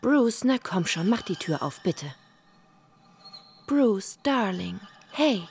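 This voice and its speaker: A woman's voice: medium pitch, expressive, slow, a little mellow, breathy edge, exaggerated voice. An actress playing a bossy, impatient woman in a radio drama. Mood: coaxing, pleading. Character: bossy, impatient woman, coaxing then cursing.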